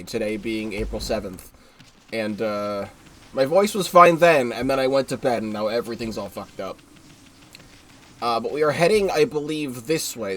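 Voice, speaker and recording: A man's voice: mid-range voice, slight background noise. A congested young man giving rambling, casual commentary while streaming a game live, often correcting himself and swearing offhand.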